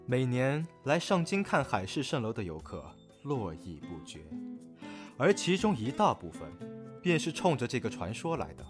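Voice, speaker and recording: A man's voice: medium pitch, big pitch swings, moderate pace, close to an everyday voice. A man playing a storyteller, narrating a legend in a dramatic, scripted audio drama style. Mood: mysterious. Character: storytelling narrator of a legendary wish-granting inn. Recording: music clearly behind the voice.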